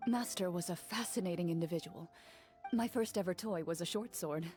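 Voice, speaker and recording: A woman voicing a composed young female duelist who speaks in a calm, measured, matter-of-fact way. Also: lowish voice, faint music behind, natural voice. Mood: reflective. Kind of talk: game cutscene voice acting.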